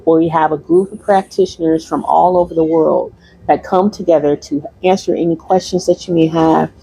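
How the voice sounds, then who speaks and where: A woman's voice: low-pitched, gravelly, warm tone, husky, no echo, rough recording. A woman from Michigan, a holistic practitioner, wrapping up her livestream class in a rambling, repeating way and talking to viewers. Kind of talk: casual livestream class wrap-up.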